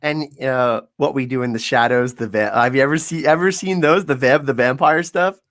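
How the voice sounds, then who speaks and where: A man co-hosting a casual fan podcast, upbeat and a little halting, with frequent restarts. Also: medium pitch, fairly bright tone, no echo, studio quality. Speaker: podcast co-host. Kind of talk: casual chat.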